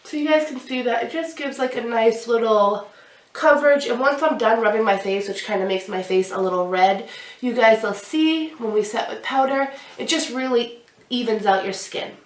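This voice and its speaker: A woman's voice: fairly high pitch, normal speed. A young woman with a stuffy nose from a cold, chatting casually through a makeup tutorial while she applies products. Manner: chatty, explaining each step while applying makeup. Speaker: beauty vlogger. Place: small indoor room with a glittery backdrop. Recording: roomy sound, somewhat far from the mic.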